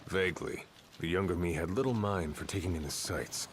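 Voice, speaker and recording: An actor playing a seasoned middle-aged warrior who speaks calmly and drily. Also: male voice, low voice, a little fast, slight background noise, close to an everyday voice. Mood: wry. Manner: dry, calm and reflective.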